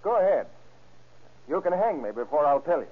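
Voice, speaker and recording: Stubborn, defiant young suspect. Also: male voice, on the high side, big pitch swings, a little fast, a little hiss or hum. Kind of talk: 1930s radio acting. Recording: tinny, narrow old radio recording.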